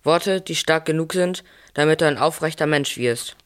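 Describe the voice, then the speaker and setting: Higher-voiced man playing humble Joseph. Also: normal speed, fairly bright tone, lightly acted. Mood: relieved, tender. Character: Joseph, poor, humble, caring new father. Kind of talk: scripted nativity radio play monologue.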